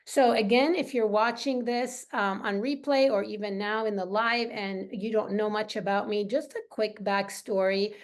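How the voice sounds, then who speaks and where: A woman's voice: medium pitch, fairly even intonation, normal speed, few pauses. Middle-aged woman coach, earnest and conversational. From Lebanese. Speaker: wellness coach hosting a podcast and live training. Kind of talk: informal live coaching talk with personal backstory.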